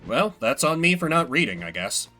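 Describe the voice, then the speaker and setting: A man streams a game with casual, playful commentary. His voice is bright and very animated in pitch, and his delivery is wry, with loose swearing. Faint music plays behind him. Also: normal speed.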